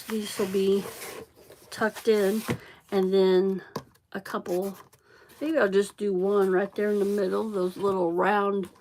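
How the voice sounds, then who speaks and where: A middle-aged woman with a Central Illinois accent rambles through a casual craft livestream at a slow, unhurried pace. She is chatty, drifts off topic, and now and then mutters to herself as she works. Her pitch sits in a middle range. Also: a little hiss or hum. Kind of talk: casual chat while crafting.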